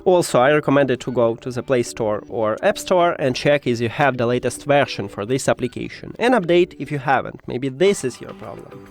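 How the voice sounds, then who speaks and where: A man who speaks English as a second language, presenting a step-by-step tech tutorial with hesitant, halting phrasing. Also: mid-range voice, lively intonation, soft background music. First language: not English.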